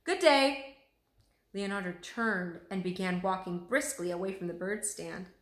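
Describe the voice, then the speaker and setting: A woman reading a children's story aloud in an animated, expressive way. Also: on the low side, moderate pace, faint music behind. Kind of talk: expressive storybook read-aloud with character voices. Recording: roomy echo.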